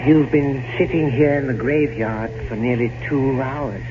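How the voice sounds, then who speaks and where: An actor in a 1970s radio drama playing a grave, deferential old manservant, formal and halting, full of dread. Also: male voice, mid-range voice, natural voice. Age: older. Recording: noisy, muffled old radio recording.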